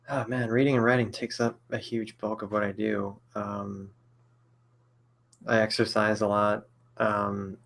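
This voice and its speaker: A man's voice: lowish voice, vocal fry, fairly bright tone. A man in his late twenties answering viewer questions on a casual live stream, rambling and hesitant with many fillers and frequent chuckles. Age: 20s. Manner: rambling, hesitant, lots of fillers and self-corrections; chuckles often between thoughts.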